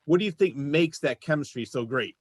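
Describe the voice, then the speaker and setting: A man's voice: on the high side, expressive, brisk, husky, no room sound. A man hosting a casual panel interview, talking conversationally. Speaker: show host.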